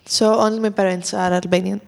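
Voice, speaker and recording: A woman's voice: medium pitch, flat delivery, brisk, vocal fry, big-room sound. A sixteen-year-old woman from Greece, answering briefly and matter-of-factly. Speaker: podcast guest.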